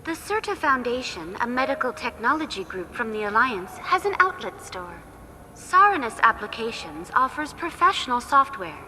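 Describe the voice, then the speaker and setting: A high, bright virtual guide program from a sci-fi game, courteous and impassive. She delivers information formally with a sweeping intonation, ringing out in a large echoing space. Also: very animated, full character voice. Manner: formal, measured, instructive. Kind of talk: scripted informational guide narration. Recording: echoing hall, faint background noise.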